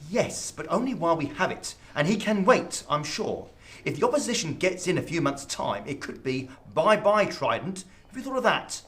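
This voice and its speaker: Man playing scathing, animated government diplomat. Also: on the high side, moderate pace. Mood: exasperated, scathing. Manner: sarcastic, accusing. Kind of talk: radio drama acting.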